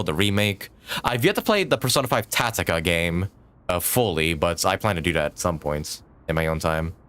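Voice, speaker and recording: Low-voiced, lively male game streamer. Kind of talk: casual live-stream chat.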